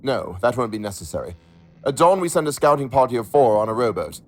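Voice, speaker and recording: A man playing a cautious, weary ship's captain, measured and authoritative, in an audio drama. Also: medium pitch, sing-song, a little hiss or hum. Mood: grave, decisive.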